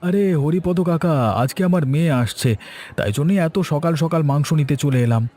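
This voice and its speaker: A man voicing an excitable, doting middle-aged village father in a ghost cartoon. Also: on the high side, fairly quick, close to an everyday voice. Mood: eager. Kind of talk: cartoon voice acting.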